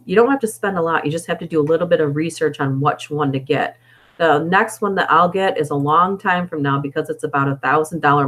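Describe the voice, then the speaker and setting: A woman hosts a casual live stream, reading stories and chatting between them. Her voice is hoarse, as if it is wearing out. She thinks aloud and wanders from point to point. She speaks into an inexpensive desktop microphone. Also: low voice. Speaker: story reader and live streamer. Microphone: budget desktop microphone.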